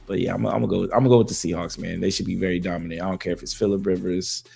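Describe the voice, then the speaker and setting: A man co-hosting a podcast, talking sports in a loose, slangy way with a voice that is going hoarse. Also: low voice, very fast. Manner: loose, slangy, animated banter with fillers. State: losing his voice. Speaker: podcast co-host. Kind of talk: casual sports talk.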